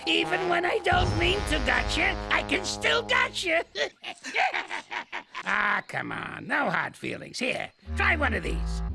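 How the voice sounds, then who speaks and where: A man's voice: very high voice, gravelly, heavily acted. An actor playing a grumpy old male ape in a cartoon, a teasing prankster who scolds and calls names. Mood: gleeful. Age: older. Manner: scolding, teasing, name-calling. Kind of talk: cartoon voice acting.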